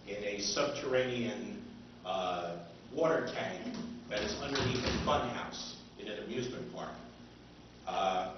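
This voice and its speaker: An American man giving an informal seminar talk, with a hesitant, halting delivery. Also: medium pitch. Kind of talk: informal lecture. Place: indoor convention seminar room. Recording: echoey noisy hall, distant, dull.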